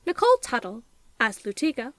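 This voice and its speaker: A woman's voice: a little high, sing-song, a little fast, no echo. A woman reading scripted podcast credits as an announcer. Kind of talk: scripted narration.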